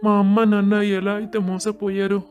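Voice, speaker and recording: Man playing high-voiced, wronged young husband. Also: monotone, a little fast, phone-quality sound, faint music behind, lightly acted. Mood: hurt, bewildered. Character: wronged, grieving young orphaned husband, bitter and emotional. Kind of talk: scripted audio story dialogue.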